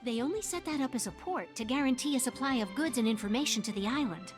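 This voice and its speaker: Woman playing an earnest, high-voiced young game character. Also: lively intonation, close to an everyday voice. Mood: advising. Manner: measured, explanatory, formal wording. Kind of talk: video game voice acting. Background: music.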